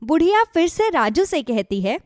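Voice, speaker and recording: A woman's voice: very high voice, big pitch swings, normal speed, dry recording, clean studio sound. A woman narrating a scripted children's moral story as a storyteller.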